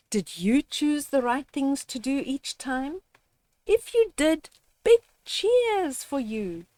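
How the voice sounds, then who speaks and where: Older woman, children's storyteller, very animated intonation, unhurried pace, gently instructive, read-aloud style. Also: high-pitched, dry recording. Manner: gentle didactic, addressing young children directly. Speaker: storyteller reading children's books. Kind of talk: read-aloud picture book with moral commentary.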